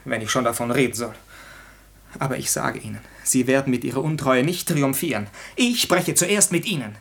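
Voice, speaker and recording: Husky, lovesick young gentleman. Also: medium pitch, lively intonation, normal speed, heavily acted. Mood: defiant. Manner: theatrical, declamatory, ardent. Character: lovesick, wounded young gentleman, passionate and proud. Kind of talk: German 1960 radio play, classical stage comedy acting.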